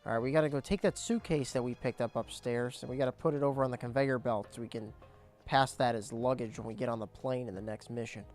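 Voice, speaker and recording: A young man casually commentating his gameplay, explaining objectives as he goes, his voice cracking and squeaking now and then. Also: steady tone, fast, a little mellow, faint music behind. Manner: chatty, explains objectives as he plays. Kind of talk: casual let's play commentary.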